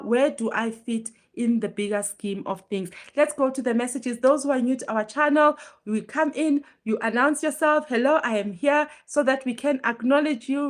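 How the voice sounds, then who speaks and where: A woman hosting a casual motivational live stream, her voice hoarse and strained from illness as she pushes it, chatting earnestly and greeting viewers by name. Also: high-pitched, normal speed, hardly any pauses. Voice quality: normally very loud voice, pushing it now.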